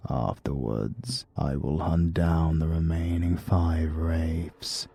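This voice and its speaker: A menacing supernatural advocate from a dark audiobook, played by a man. He speaks British English in an extremely deep, husky voice, very slowly and formally. His cold confidence never breaks. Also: heavily acted. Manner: unhurried, formal, coldly confident.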